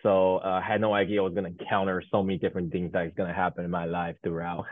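A man in his thirties from Taiwan, a native Mandarin speaker, talking as an interview guest in a lowish voice. He rambles and fills his sentences with fillers and restarts. The sound is dry and tinny, like a phone line. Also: little pitch movement, quick pace, no room sound. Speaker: guest, Paralympic sled hockey goaltender. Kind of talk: casual interview chat.

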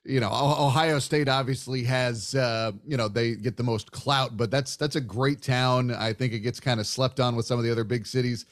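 A man's voice: medium pitch, steady tone, moderate pace, few pauses. A man hosting a sports podcast, talking in a chatty, discursive way like a casual broadcaster in conversation. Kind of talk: casual sports interview talk.

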